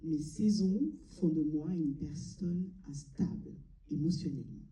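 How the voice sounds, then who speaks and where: A woman from Mali delivering a solemn, deliberate theatrical monologue, pausing between short phrases. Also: sits low, dark tone. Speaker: amateur stage performer. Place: large cinema auditorium before an audience. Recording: echoey hall, distant mic.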